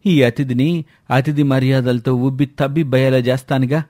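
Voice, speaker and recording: A man's voice: medium pitch, fairly even intonation, normal speed, mellow, dry recording, studio quality, lightly acted. Man playing a hearty, teasing village friend. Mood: teasing, warm. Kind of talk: dramatised storybook dialogue.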